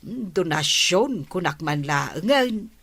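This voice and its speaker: Earnest captain's wife, Ilocano accent, lowish voice, unhurried pace, radio drama acting. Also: female voice, expressive, fairly bright tone, breathy edge, no room sound, studio quality, heavily acted. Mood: patient, explaining.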